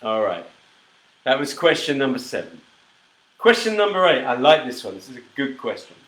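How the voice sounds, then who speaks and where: A man's voice: medium pitch, big pitch swings, moderate pace, fairly bright tone, rough recording. A middle-aged man hosting a casual live stream, chatting with viewers and reading their comments aloud. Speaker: host of a live whisky show.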